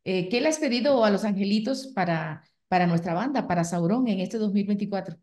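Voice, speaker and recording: A woman's voice: mid-range voice, lively intonation, brisk, dark tone. Effusive woman hosting a video interview. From Dominican Republic. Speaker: interviewer host. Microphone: webcam on video call.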